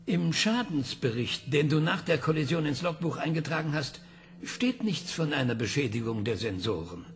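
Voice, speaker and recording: Calm probing scientist, slightly gravelly, radio play. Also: male voice, fairly high pitch, moderate pace. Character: patient male scientist questioning a ship computer.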